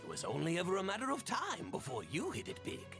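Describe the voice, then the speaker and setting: An actor playing a fatherly older gentleman in a fantasy game, speaking in a courtly, deliberate, avuncular way. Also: high-pitched, huge pitch swings, music in the background, exaggerated voice. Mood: genial, approving. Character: fatherly old mentor and genteel patron, an older gentleman. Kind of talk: theatrical fantasy video game dialogue.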